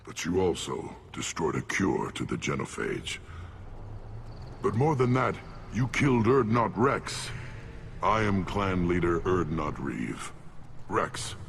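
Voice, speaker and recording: An actor playing a gruff, contemptuous alien clan leader in a video game, with a growling voice and a blunt, imperious manner. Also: male voice, deep voice, a little hiss or hum, exaggerated voice. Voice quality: gravelly, growling. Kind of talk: video game cutscene acting.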